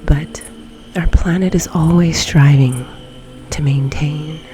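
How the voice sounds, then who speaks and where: A woman leading a guided meditation in a soft, breathy, often near-whispered ASMR delivery, with long pauses between phrases. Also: low voice, steady tone, noisy background. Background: background music.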